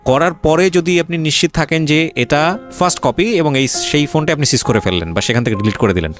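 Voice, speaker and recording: A man's voice: on the high side, expressive, fairly quick, soft background music. A man with a Bangladeshi accent hosting an interview, probing in a blunt, admonishing way and mixing in English words. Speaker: interview host.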